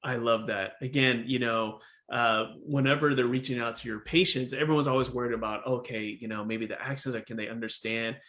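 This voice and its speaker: A man's voice: mid-range voice, brisk, few pauses. A man hosting a dental podcast over a video call, chatting casually and often saying "again" and "right?". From Texas. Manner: animated, repeats "again" and "right?". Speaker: podcast host, dentist. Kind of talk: casual interview chat.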